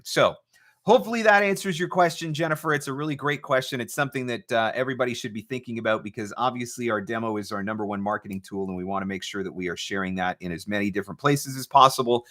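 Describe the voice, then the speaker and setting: A man with a bright, forceful voice of middling pitch hosts a live advice session. He talks conversationally as a coach would, explaining step by step and stressing his main points by repeating them. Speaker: host, voice-over marketing coach.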